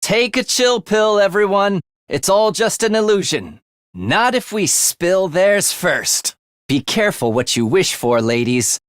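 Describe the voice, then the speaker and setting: A man's voice: high voice, very animated, unhurried, fairly bright tone, smooth, dry recording, heavily acted. A man voicing a sly young assassin in a video game, with a dry, sardonic wit and a theatrical, teasing manner. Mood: urgent, commanding, then cool, calculating. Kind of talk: video game character voice lines.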